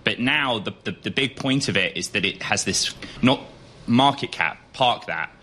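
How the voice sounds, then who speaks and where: A man's voice: mid-range voice, expressive, normal speed, bright, forceful tone. A man, a tech show host, chatting as a guest with lots of restarts and easy laughter. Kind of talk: casual chat.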